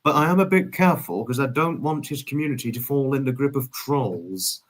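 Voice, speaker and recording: A man's voice: medium pitch, expressive, a little fast. A man in his twenties chatting casually as a livestream host, reading and answering viewers, effusively polite and wordy, often repeating his phrases.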